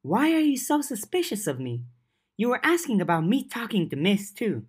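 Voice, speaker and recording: Narrator doing a high-pitched, insistent teenage girl. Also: big pitch swings, normal speed, slightly rough. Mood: defensive. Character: keen, defensive, scheming teenage girl.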